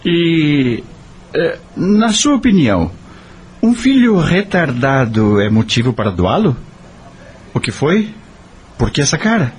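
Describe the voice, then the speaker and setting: Actor as blunt, animated, skeptical boyfriend. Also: male voice, fairly high pitch, slow, long pauses. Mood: probing. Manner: blunt, questioning. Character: troubled, questioning man, blunt skeptical boyfriend. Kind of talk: audio novel dialogue acting.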